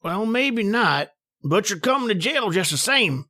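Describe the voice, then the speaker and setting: A man acts out an excitable small-town sheriff in an old radio horror play. His high, forceful voice swings widely as he barks blunt, folksy orders, suspicious of everyone. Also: measured pace, heavily acted. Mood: stern, suspicious.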